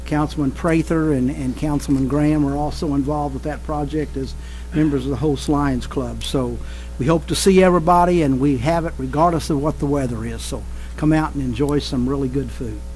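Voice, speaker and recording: A man, a city councilman, making announcements at a meeting in an earnest, halting way with many pauses. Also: fairly high pitch, fairly even intonation, a little mellow, a little hiss or hum, rough recording. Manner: hesitant, many uhs, earnest. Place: council chamber.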